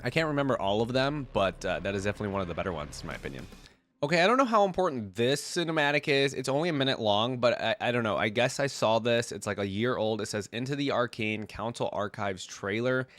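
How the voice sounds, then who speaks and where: A man's voice: medium pitch, expressive, fast talker, dry recording. A man congested from a cold, giving casual, informal reaction commentary as a video host, thinking aloud as he goes. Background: loud music from the videos watched.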